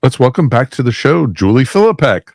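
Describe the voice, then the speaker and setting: A man's voice: mid-range voice, very animated, brisk, gravelly, darkish tone, no room sound, clean studio sound. A man hosting a podcast in casual chat, joking and filling with "you know". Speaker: podcast host.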